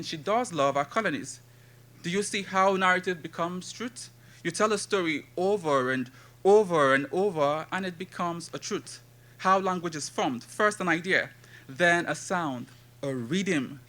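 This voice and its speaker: A man in his thirties from Nigeria with a Nigerian accent, giving a conference talk and reciting his poetry in a measured, rhythmic way. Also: a little high, pushed and bright. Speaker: conference speaker and poet. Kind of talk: academic talk with poetry reading.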